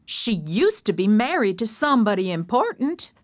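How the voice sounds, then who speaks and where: Lady doing a high chirpy gossip, bubbly and eager, dry sound. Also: big pitch swings, moderate pace, no echo. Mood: gossipy. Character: chatty gossiping woman neighbor.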